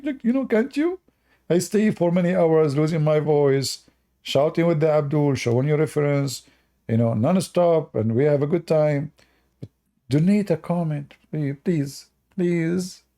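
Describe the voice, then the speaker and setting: Gravelly, sarcastic man hosting a livestream. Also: fairly high pitch, warm tone. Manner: sarcastic, mocking. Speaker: livestream debate host. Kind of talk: live-stream debate commentary.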